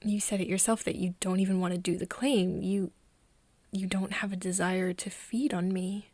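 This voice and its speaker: A woman playing a breathy, hesitant young woman. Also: mid-range voice, level tone, a little mellow, no room sound, lightly acted. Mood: puzzled, hushed. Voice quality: breathy, often dropping into a whisper. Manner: halting, hesitant, with nervous giggles. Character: hesitant, caring young woman, human friend of a vampire. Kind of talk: soft ASMR roleplay acting addressed to the listener.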